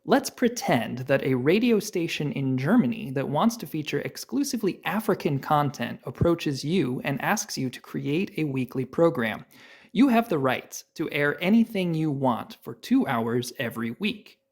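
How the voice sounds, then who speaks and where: Lively host reading an introduction. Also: medium pitch, normal speed, husky. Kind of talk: scripted podcast introduction.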